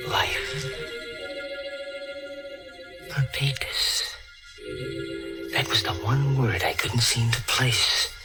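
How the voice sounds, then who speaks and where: A man's voice: mid-range voice, lively intonation, very slow, long pauses. A male actor playing an obsessed, scheming chemistry teacher who tells his own story in a dramatic, brooding old-time radio mystery style. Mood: puzzled, brooding. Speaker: narrator and lead actor in a radio play. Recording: vintage radio recording with loud music behind.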